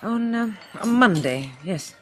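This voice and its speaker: A woman's voice: medium pitch, animated, faint music behind. Hesitant, shaken young Englishwoman. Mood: rueful, shaken. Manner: polite, hesitant, halting. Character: shaken, well-bred young woman survivor. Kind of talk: radio drama dialogue.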